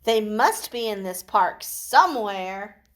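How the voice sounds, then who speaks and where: Casting: woman to play a helpful, patient puppet pal for a kids' show, unhurried with lively intonation. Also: mid-range voice. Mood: curious, searching. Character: patient, helpful puppet friend on an ornament quest. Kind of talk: children's puppet show acting.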